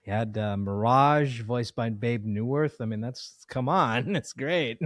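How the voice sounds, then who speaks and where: A man's voice: mid-range voice, unhurried, no echo. A man hosting an interview in a casual, informal, conversational way. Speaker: podcast interviewer.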